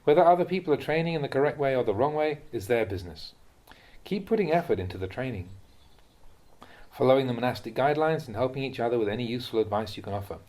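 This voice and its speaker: A man reading a translated Buddhist talk aloud as a monk would teach, in a measured, instructive manner. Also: mid-range voice, animated, a little mellow.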